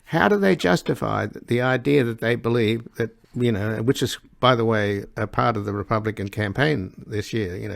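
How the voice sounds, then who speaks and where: A man hosting a political interview in a gravelly, creaky voice, putting long, halting, opinionated questions. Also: fairly low pitch, no room sound, studio quality. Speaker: interview host.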